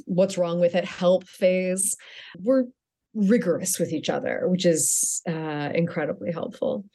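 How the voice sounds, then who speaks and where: A woman's voice: lowish voice, mellow. A middle-aged woman author answering interview questions in a chatty way, often restarting her sentences and laughing lightly.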